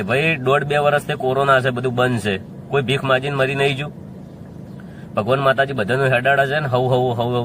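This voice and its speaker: A man with a North Gujarat accent speaks straight to viewers in an informal, insistent way. His mid-pitched voice is defiant and circles back to the same points, with clear noise behind it. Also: a bit bright. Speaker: singer addressing community critics. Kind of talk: informal spoken address to viewers.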